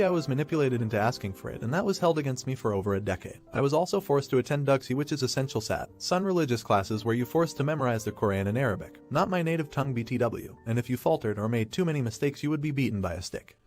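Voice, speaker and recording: A man reading online stories aloud in a steady, even narration. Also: medium pitch.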